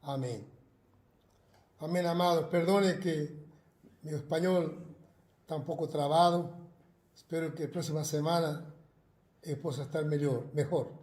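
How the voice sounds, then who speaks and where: Slow, earnest pastor in an echoey room. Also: male voice, on the high side, a little mellow. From Argentina. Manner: slow, earnest, fervent praying with repetitions. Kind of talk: recorded sermon and prayer. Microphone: self-recorded video.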